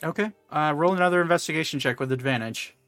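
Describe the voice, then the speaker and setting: A man running a tabletop game as game master, narrating in a halting, conversational way and often correcting himself. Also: on the high side, fairly bright tone, no echo, soft background music.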